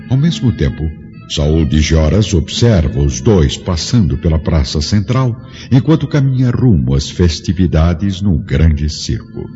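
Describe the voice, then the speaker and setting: A man narrating a radio drama in a grave, measured way. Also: deep voice, mellow. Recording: dull lo-fi recording over music. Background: music score.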